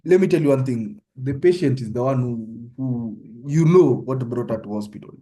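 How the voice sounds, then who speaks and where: Kenyan man tutoring, mellow voice. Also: medium pitch, moderate pace. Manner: conversational, often checks in with "yeah?". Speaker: medical student tutor. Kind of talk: informal online tutorial.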